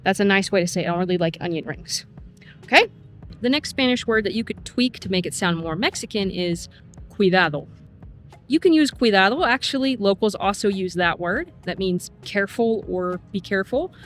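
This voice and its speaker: Woman, middle-aged, friendly language teacher, outdoor walk-and-talk, soft music under. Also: medium pitch, expressive. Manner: friendly explainer, slows to model phrases. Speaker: Spanish teacher. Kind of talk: casual vlog lesson on a walk. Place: outdoors, walking in a city.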